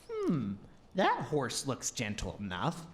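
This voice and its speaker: A woman's voice: very deep voice, wildly swooping pitch, slow pace, full character voice. A woman playing a plucky, slightly cocky young servant lad in a radio play, easygoing and innocent-sounding. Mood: breezy confidence.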